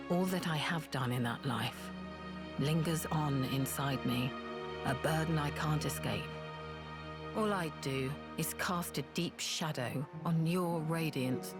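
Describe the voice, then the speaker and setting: Low-voiced, guarded ex-assassin over music. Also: female voice. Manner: curt, dry, formal. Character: guarded, proud former assassin woman burdened by guilt. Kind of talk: video game cutscene acting.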